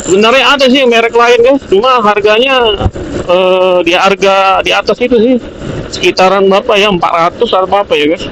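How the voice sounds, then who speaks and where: A man's voice: high-pitched, brisk, a bit bright. An Indonesian man motovlogging, casually rambling through a product review in an informal, hesitant, repetitive way as he thinks aloud. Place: outdoors, riding a motorbike on the road. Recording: noisy outdoor traffic and vehicle noise.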